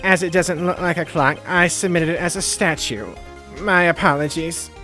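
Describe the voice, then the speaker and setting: Man putting on a high-voiced, formal prosecutor. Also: normal speed, bright, forceful tone, soft background music. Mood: formal, apologetic. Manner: formal, explanatory. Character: deferential, smooth-talking male courtroom prosecutor. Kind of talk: video game character voice.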